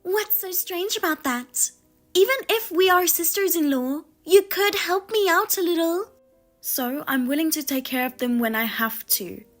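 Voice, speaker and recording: A woman voicing a sharp-tongued sister-in-law who talks down and needles, her voice pushed higher than her own. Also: expressive, normal speed, soft background music. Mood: indignant. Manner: high-pitched, indignant and needling.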